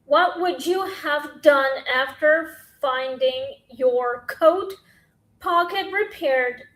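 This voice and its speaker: A woman with a scratchy voice hosting a casual question-and-answer chat, halting and rambling with many fillers, talking into her phone. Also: high voice, fairly bright tone. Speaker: podcast host.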